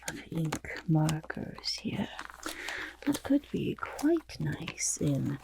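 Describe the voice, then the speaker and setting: A woman playing a vain, fussy goblin portrait artist in an ASMR roleplay, with a raspy half-whisper and pompous, flattering, hesitant talk. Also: deep voice, extremely slow, heavily acted, low-quality recording. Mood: fussy flattery. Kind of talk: ASMR roleplay, soft personal attention.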